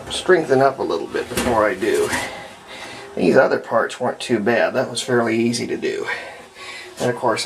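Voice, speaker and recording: A man, middle-aged, giving an unhurried, rambling, step-by-step commentary on hands-on restoration work, recorded indoors at home. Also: medium pitch, vocal fry, rough recording. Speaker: hobbyist radio restorer presenting own repair videos. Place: indoors at home, partly in a bathroom.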